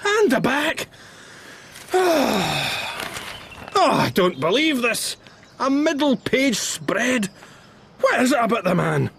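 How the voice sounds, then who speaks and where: An actor playing a reserved young Scottish doctor, dry and incredulous, in a radio drama. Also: male voice, very high-pitched, sing-song, slow pace, vocal fry, pauses often, fairly bright tone, a little hiss or hum, exaggerated voice. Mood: exasperated. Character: reserved, sceptical young Scottish male doctor, easily exasperated. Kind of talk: broadcast radio drama acting.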